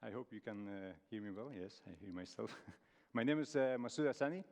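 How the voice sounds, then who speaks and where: Man from afghanistan, thirties, gravelly, halting public talk, long hall reverb. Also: on the low side, lively intonation, darkish tone. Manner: halting, many uh fillers and restarts. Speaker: speaker at a talk event.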